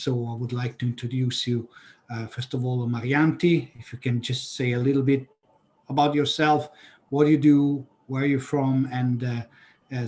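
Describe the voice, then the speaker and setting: A man hosts an online panel, introducing guests and posing questions with frequent pauses and fillers. His voice sits at an ordinary middle pitch. A faint hiss of noise runs underneath. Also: darkish tone. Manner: hesitant, many fillers. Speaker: panel moderator.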